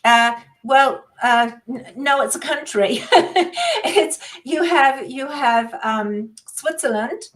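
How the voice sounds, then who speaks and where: Chatty older New woman, high voice. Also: fairly bright tone. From New Zealand. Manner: halting, many fillers and restarts. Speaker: guest. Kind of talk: casual chat over a livestream call.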